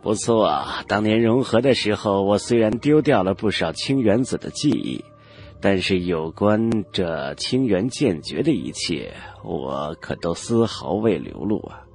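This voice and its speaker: A man voicing an old male cultivator elder in a fantasy audiobook, haughty and knowing, with measured, condescending, old-fashioned speech. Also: lowish voice, animated, vocal fry, darkish tone, soft background music, voice pushed down. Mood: regretful, knowing. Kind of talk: Chinese fantasy audiobook character acting.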